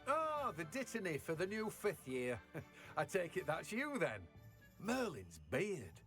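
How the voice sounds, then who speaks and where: A man's voice: high-pitched, wildly swooping pitch, slow, fairly bright tone, faint music behind, exaggerated voice. A man voicing a jovial, sociable plant seller in a video game, hearty and chatty, with a British accent. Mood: jovial, welcoming.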